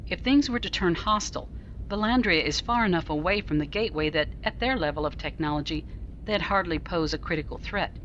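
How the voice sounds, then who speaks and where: A woman's voice: lowish voice, fairly quick, fairly bright tone, highs cut off, slight background noise, natural voice. Dry, commanding female starship captain, played by an actress. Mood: stern, measured. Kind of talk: fan audio drama acting.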